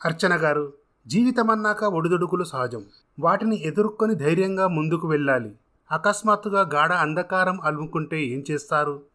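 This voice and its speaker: A man's voice: fairly high pitch, normal speed, fairly bright tone, close to an everyday voice. A man voicing an earnest, generous self-made contractor who speaks formally and encouragingly in a dramatised story.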